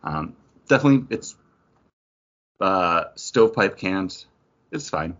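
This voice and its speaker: A man's voice: low voice, expressive, a little slow, a bit bright. A man co-hosting a podcast, talking in a casual, conversational style. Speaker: podcast co-host. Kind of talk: casual chat. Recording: dull lo-fi recording.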